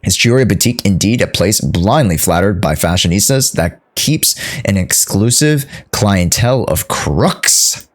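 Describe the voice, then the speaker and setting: A man's voice: lowish voice, animated, a little slow, few pauses. A man streaming a game playthrough, casually reading text aloud and commenting on it as he goes. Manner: casual, reactive, echoes lines.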